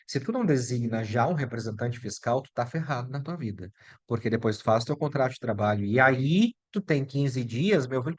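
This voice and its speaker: A middle-aged lawyer with a Brazilian Portuguese accent explaining immigration rules in a chatty, direct way, talking straight to the viewer. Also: male voice, mid-range voice. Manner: chatty and direct, addresses viewer as "tu" and "meu filho". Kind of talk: casual explainer video.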